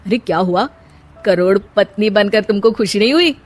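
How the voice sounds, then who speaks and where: A woman voicing a gentle, reassuring young husband in an earnest cartoon drama style. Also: medium pitch, normal speed, faint music behind, lightly acted. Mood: excited. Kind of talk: Hindi moral-story cartoon dubbing.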